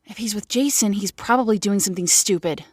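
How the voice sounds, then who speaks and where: A woman's voice: mid-range voice, brisk, a little mellow, husky, no room sound, natural voice. A woman playing a cynical teenage girl superhero in an audio drama, speaking with dry sarcasm. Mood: sarcastic.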